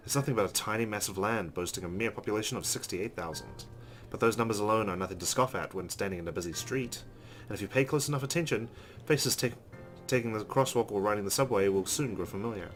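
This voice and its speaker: A male let's play host with a lowish voice reads game narration aloud. He phrases it like a storyteller, with clear guitar music playing behind him. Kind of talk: reading visual novel narration aloud. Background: background music with guitar.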